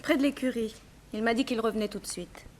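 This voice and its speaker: An actress playing a composed, frank but guarded young bereaved daughter in a 1960s French radio drama, with measured theatrical diction. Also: female voice, fairly high pitch, lightly acted. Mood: subdued.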